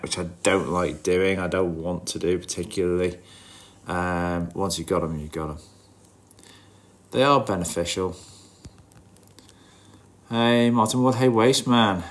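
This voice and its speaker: Low-voiced man, nasal with a cold. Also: a bit bright. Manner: informal, muttering asides to himself. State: has a head cold. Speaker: livestream host. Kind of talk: casual livestream chat, greeting viewers while looking over fish tank.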